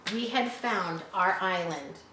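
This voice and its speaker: A woman's voice: lowish voice, slow pace, pushed and bright. A woman narrating an adventure story for children, reading expressively and adding simple explanatory asides. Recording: echoey room with faint background noise.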